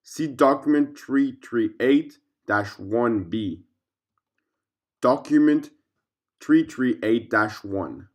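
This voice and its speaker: A man reading a scripted fictional case file aloud as narrator, in a formal, measured way. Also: medium pitch, animated.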